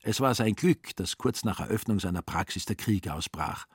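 A man's voice: lowish voice, lively intonation, normal speed, breathy edge, dry recording, studio quality. A man delivering scripted, ironic literary narration as the storyteller of a radio play.

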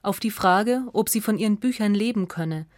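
A woman reads a scripted radio essay as narrator in a measured, articulate and factual way. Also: medium pitch, no echo, clean studio sound.

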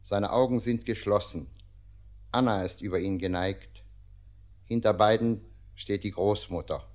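A man narrates the stage directions of a radio play in a calm, even reading. His voice is low and his pace is unhurried. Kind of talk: scripted narration.

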